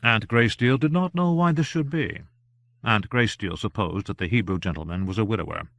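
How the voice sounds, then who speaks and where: Man, audiobook narrator, low-pitched, measured storytelling, dry close recording. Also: vocal fry, no room sound. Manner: measured, even storytelling with restrained character voicing. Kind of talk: unabridged novel reading.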